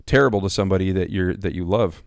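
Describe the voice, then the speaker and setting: A pastor in his thirties talking casually as a podcast co-host, with a loud voice that carries, rambling with many fillers and restarts. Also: low-pitched, fast, gravelly, no echo, studio quality. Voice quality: loud, carrying voice. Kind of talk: casual conversation. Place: small home studio.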